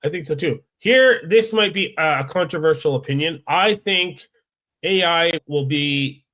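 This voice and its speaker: Guy with a slightly high voice chatting on a podcast, easygoing agreeable co-host type. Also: a little slow, bright, forceful tone. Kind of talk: casual podcast discussion.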